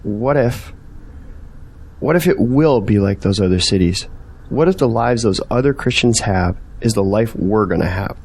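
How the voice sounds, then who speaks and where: A man's voice: lowish voice, animated, normal speed, a little mellow, husky. Earnest man, searching and persuasive, questioning manner, audio drama dialogue, acted by a man. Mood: thoughtful, concerned.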